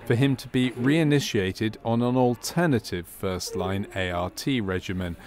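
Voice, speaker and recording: Low-voiced man narrating a training film. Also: normal speed. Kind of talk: scripted documentary narration.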